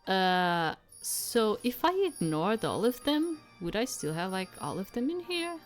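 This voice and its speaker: A woman streaming a game, reading visual novel narration aloud in a measured, dramatic way with casual asides. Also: mid-range voice, sing-song. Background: soft game music.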